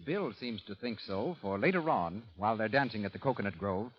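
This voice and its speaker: A man's voice: fairly low pitch, expressive, fairly quick. An American man reading a scripted commercial as a 1930s radio announcer. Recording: muffled, noisy old radio recording.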